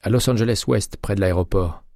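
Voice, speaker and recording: A man reading an audiobook, voicing a determined, quick-thinking woman accomplice with a brisk, conspiratorial manner. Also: on the low side, gravelly, darkish tone, no room sound, clean studio sound, voice pushed up. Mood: matter-of-fact.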